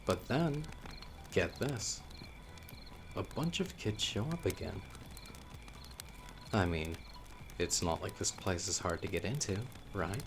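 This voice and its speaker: A man playing a young newcomer telling eerie local legends by a campfire in a horror audio roleplay, conversational and teasing with dramatic pauses. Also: deep voice, animated, background noise, exaggerated voice. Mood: hushed intrigue. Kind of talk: casual spoken storytelling in horror audio roleplay.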